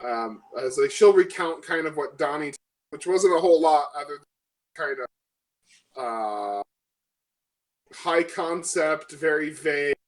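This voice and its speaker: A man with a slightly high voice plays in a tabletop role-playing game. He narrates what his character does in casual, improvised talk, halting as he thinks it through. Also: low-quality recording.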